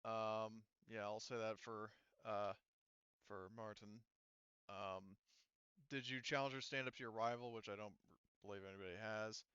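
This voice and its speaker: Man, game master, bright crisp voice, casual talk, swears freely, dry slightly dull recording. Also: fairly low pitch, steady tone, moderate pace, dry recording.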